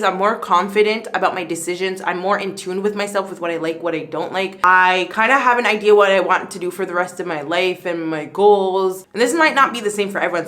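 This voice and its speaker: A young woman in her late twenties talks through her skincare routine in a loose, rambling vlog chat. Her pitch sits in the middle of the range. She fills her sentences with "like" and jumps up in pitch when something excites her. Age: 20s. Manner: chatty, rambling, many "like" fillers. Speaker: beauty vlogger. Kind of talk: casual chat while doing skincare.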